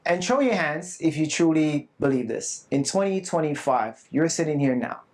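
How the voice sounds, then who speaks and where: A man's voice: on the high side, expressive, moderate pace, a little mellow. A man giving a live conference talk to a room of educators, earnest and emphatic, with self-deprecating asides. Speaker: conference presenter. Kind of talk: live summit talk to an audience. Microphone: not loud at first, asked to speak louder.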